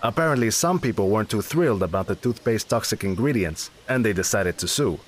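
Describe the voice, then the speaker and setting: A man's voice: on the low side, animated, brisk. A man narrating a comedy audio drama in a dry, matter-of-fact way. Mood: wry.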